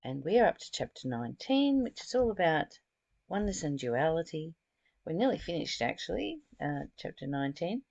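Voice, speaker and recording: A woman giving an informal spiritual lesson, reading aloud deliberately and adding chatty explanations of her own. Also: sits low, very animated, creaky, a little mellow, no room sound, muffled recording. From Australia. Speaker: spiritual study host reading and explaining a book.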